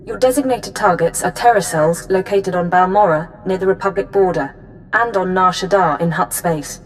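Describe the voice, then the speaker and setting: A woman playing a brisk female intelligence handler in a video game, giving crisp, businesslike briefings. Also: on the low side, faint music behind, close to an everyday voice, low-quality recording.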